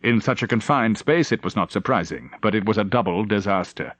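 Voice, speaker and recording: Dramatic male audiobook narrator, lively range. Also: lowish voice, brisk, no room sound. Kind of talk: dramatic scripted novel narration.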